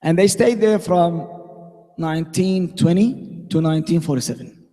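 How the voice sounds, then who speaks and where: A man from Syria with a Syrian Arabic accent gives an informal, explanatory community lecture in halting English as a native Arabic speaker. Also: on the high side, mellow, soft background music. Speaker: guest speaker, pharmacist and school teacher. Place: mosque hall. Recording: echoey hall with long reverb.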